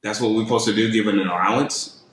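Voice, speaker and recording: A man's voice: lowish voice, steady tone, fast, fairly bright tone. A young man hosting a live stream, defensive in a heated argument and repeating himself. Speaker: livestream host. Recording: roomy echo.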